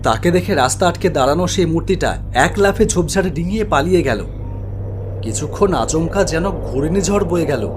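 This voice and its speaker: A man's voice: a little high, expressive, a little fast, over music. A man narrating a scripted spooky story in a dramatic, suspenseful way.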